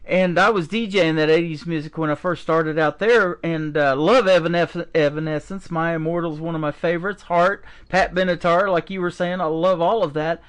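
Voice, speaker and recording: A man's voice: on the high side, fairly even intonation, normal speed, few pauses, clean tone. An older man hosting a radio interview, friendly and folksy with plenty of filler "uh"s. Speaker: radio host.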